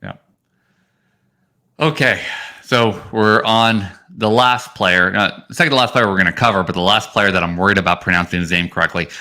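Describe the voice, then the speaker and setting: A man with a lowish voice and a forceful, bright edge co-hosts a fantasy baseball show. He talks through his analysis casually and loosely, stressing the points that matter to him. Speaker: podcast co-host.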